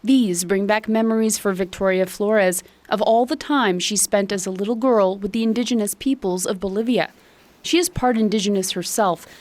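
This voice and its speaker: A woman narrating a news report as a reporter, in a measured way. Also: mid-range voice, darkish tone.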